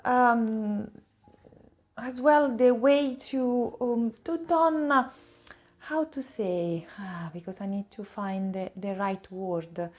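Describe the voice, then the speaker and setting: High-voiced Italian woman reading tarot. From Italy. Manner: halting word searching, repeats phrases for emphasis. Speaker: tarot reader, host. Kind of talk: improvised tarot card reading addressed to viewers.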